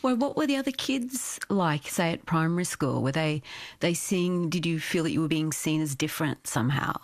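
A woman's voice: sits low, expressive, normal speed. A woman interviewing a guest, engaged and responsive, who laughs often and asks caring follow-up questions.

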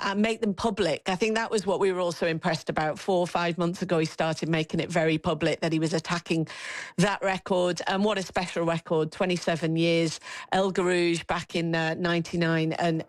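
A middle-aged woman with an English accent, a former athlete talking as a sports pundit in a chatty, halting way that repeats words. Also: on the low side, steady tone, no echo.

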